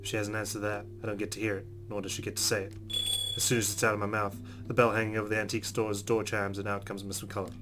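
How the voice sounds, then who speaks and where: A man hosting a let's play, reading visual novel narration aloud and adding expressive casual reactions. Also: fairly low pitch, fast talker. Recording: music behind the voice.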